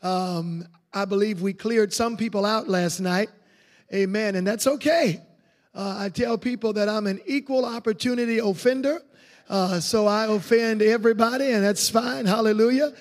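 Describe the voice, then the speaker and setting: A man with a raspy voice preaching a revival sermon in a church, exuberant and full of hallelujahs. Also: high-pitched, moderate pace. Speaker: guest evangelist. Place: church sanctuary. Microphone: handheld mic. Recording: echoey church hall.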